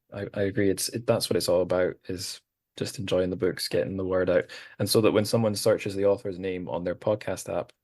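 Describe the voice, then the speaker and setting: A man's voice: low voice, a little mellow, husky. A man hosting a podcast, chatting casually with guests, rambling and self-deprecating with many restarts. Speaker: podcast host. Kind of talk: casual group chat.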